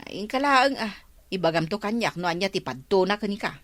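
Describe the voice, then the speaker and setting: Actress as female villager, Filipino accent, animated pitch, dry close sound, skeptical, teasing, gossipy, radio comedy. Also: fairly quick, no echo, close to an everyday voice. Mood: dismissive, prying. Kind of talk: Ilocano radio comedy acting.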